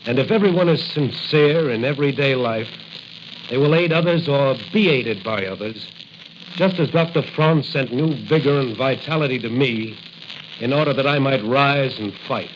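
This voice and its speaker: An actor playing a righteous crime-fighting hero in a declamatory, commanding 1940s radio style. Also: male voice, medium pitch, moderate pace, natural voice. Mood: earnest. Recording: hissy, dull 1940 radio recording.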